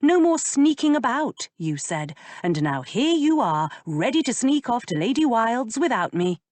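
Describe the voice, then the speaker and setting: A woman voicing a quick-tempered, sharp-tongued young lady who speaks in a tart, exasperated way. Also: a little high, very animated, no echo, pitched above the performer's own voice. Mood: indignant.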